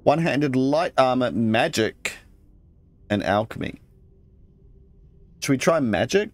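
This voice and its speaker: A man's voice: mid-range voice, expressive, takes it slowly, no echo. A man hosting a let's play, casually thinking aloud and reading game text as he goes. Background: game music.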